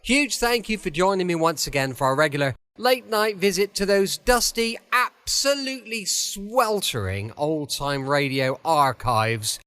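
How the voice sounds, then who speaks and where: A man's voice: sits high, a little slow, hardly any pauses, pushed and bright, clear, resonant tone. A British man hosting a radio show, giving a chatty, welcoming introduction. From United Kingdom. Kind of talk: cosy scripted show introduction.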